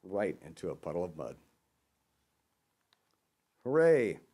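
A man reading a children's picture book aloud as an expressive storyteller. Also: sits low, normal speed. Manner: expressive, acts out dialogue. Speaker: storyteller dressed as Santa.

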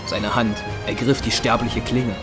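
A man's voice: mid-range voice, little pitch movement, measured pace. Male audio drama narrator over music.

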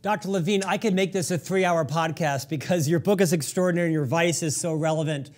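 A middle-aged man hosting an interview podcast, speaking conversationally with deliberate, emphatic phrasing into a lapel mic in a studio. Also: a little high, darkish tone. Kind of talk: prepared but conversational interviewing. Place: indoor studio set.

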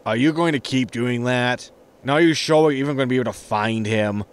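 A man's voice: mid-range voice, a little fast, clean tone, natural voice. A man playing a sarcastic, casual, grumbling spaceship captain in a sci-fi comedy audio drama. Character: flippant, grumbling male starship captain.

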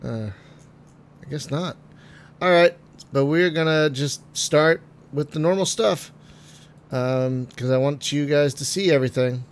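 A man getting over a cold, phlegmy and clearing his throat, chatting casually through a game with many ums and trailing remarks. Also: fairly high pitch, a little slow, pauses often. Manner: chatty, frequent ums and uhs, trailing off quietly. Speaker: gaming video host. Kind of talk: casual let's-play commentary.

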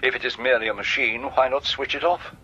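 Stern cardinal, sharp bright voice. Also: male voice, mid-range voice, fairly even intonation, fast, breathy edge, muffled recording. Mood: cold, condemning. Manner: grave, emphatic, pronouncing. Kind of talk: radio drama acting.

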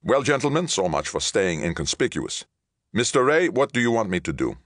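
A man's voice: fairly low pitch, big pitch swings, fairly quick, fairly bright tone, no room sound, studio quality, pitched above the performer's own voice. A man voicing a tense starship captain with a clipped military delivery in an audiobook. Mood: grim, urgent. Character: tense, terse male starship captain under pressure. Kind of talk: audiobook character dialogue.

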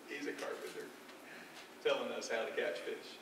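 A man playing an earnest, humbled fisherman apostle in a stage monologue, with halting, reflective and emphatic delivery. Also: mid-range voice, steady tone, natural voice. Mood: awed, reverent. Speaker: stage actor. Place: dark theatre stage, recorded from the audience. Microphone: far camera. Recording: distant, echoey, noisy stage recording.

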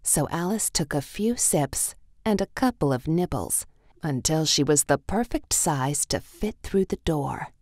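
Low-pitched woman reading a storybook, unhurried. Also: animated, a little mellow, dry recording, studio quality. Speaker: storybook narrator. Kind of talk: scripted children's read-along narration.

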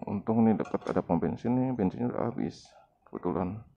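A man talks casually as a motovlogger, in short off-the-cuff phrases. His voice sits low with a creaky, gravelly edge. The sound is dull and lo-fi, picked up outdoors on a ride. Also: expressive, fairly quick, mellow. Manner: unscripted running commentary in short phrases. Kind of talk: casual vlog narration while riding. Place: outdoors on a motorbike in town streets. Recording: muffled lo-fi action camera, street noise.

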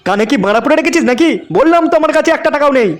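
A man's voice: very high-pitched, lively intonation, very fast. A man voicing a foul-mouthed, irritable cartoon father who scolds. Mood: angry, mocking. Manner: cursing, scolding, exasperated. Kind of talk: comic cartoon dubbing.

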